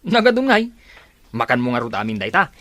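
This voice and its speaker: A woman's voice: very deep voice, big pitch swings, fairly quick, a bit bright, dry recording, full character voice. A woman playing a nosy, cheeky younger sibling in a radio drama, teasing and questioning with an Ilocano accent.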